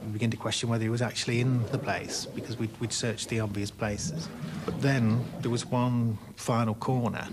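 A man's voice: medium pitch, level tone, moderate pace, few pauses. A man in his forties giving a reflective interview as a rescue diver. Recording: clear background noise.

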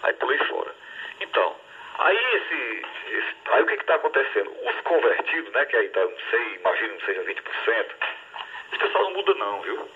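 Fast raspy man over radio. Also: mid-range voice, sing-song. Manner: fast, opinionated, conversational. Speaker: ham radio operator. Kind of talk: casual chat over two-way radio. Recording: tinny radio transmission.

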